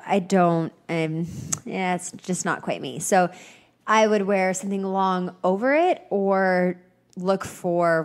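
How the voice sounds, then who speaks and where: Congested woman chatting on a podcast. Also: on the low side. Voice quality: slightly nasal. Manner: chatty, upbeat, rambling with fillers. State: congested from a cold. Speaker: podcast host. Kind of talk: casual solo podcast chat.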